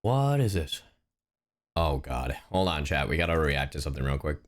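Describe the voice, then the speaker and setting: A man live streaming a game, chatting casually in a slangy, sweary, expressive and excitable way. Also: a bass voice, moderate pace. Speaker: livestreamer.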